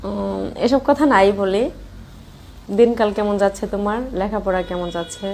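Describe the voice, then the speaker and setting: A woman's voice: medium pitch, fairly even intonation, normal speed, darkish tone, lightly acted. A woman with a Bangladeshi accent playing a flirtatious, lonely married sister-in-law in an amateur romantic phone-call audio drama. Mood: chatty, advising. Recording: clear background noise.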